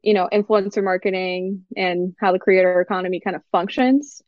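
A woman's voice: medium pitch, brisk, dry recording. Laid-back woman from Miami, dull dry sound. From Miami, United States. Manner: relaxed, many fillers, laid-back. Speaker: attorney and guest. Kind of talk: casual interview chat.

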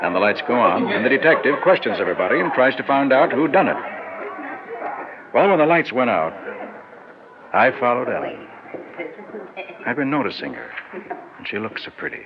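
A man's voice: medium pitch, sing-song, moderate pace, long pauses, crowd noise. An actor playing an ambitious, earnest businessman in an old-time radio drama, sometimes hesitant in his delivery. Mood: reflective. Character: ambitious, earnest businessman recalling an awkward youthful romance. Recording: muffled, noisy vintage radio recording.

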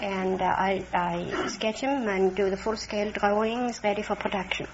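A woman's voice: mid-range voice, steady tone, normal speed. A woman from Sweden with a Swedish accent, giving brief answers as a contestant on a 1950s radio quiz. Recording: noisy, muffled old 1950s radio recording.

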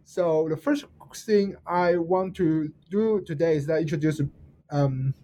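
A man's voice: fairly high pitch, measured pace, mellow, slight background noise. A man with a Chinese accent hosting an interview, polite and hesitant, often restarting and apologizing. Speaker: host.

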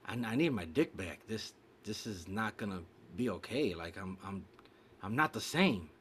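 A man's voice: on the low side, normal speed, a little hiss or hum. A man telling a long joke in casual chat, acting out a stuttering patient and his doctor. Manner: animated storyteller mimicking a stutter.

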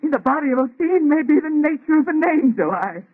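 An actor playing an arrogant, obsessed scientist in an old radio melodrama, declaiming theatrically in a husky voice. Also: male voice, very high-pitched, expressive, fast. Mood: brooding, anguished. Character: arrogant, feverishly obsessed scientist creator of a monster. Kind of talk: 1930s radio melodrama acting. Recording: tinny, band-limited old radio recording.

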